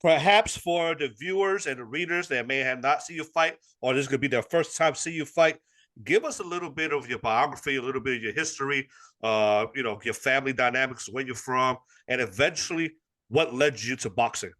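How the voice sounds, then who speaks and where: A man's voice: mid-range voice, brisk, sharp tone. A man hosting a boxing interview show, asking questions in an enthusiastic, chatty way. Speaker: interview host.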